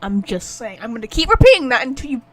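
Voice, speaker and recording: High-pitched woman doing game commentary. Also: big pitch swings, fast talker, fairly bright tone. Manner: reactive, blunt, sweary. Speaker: gaming streamer. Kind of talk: casual let's-play commentary.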